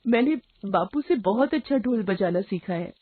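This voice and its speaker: A woman's voice: medium pitch, expressive, moderate pace, slightly rough, no room sound, phone-quality sound. A woman voicing a poor, determined young girl drummer in a story, speaking politely and pleadingly. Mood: sad, hopeful.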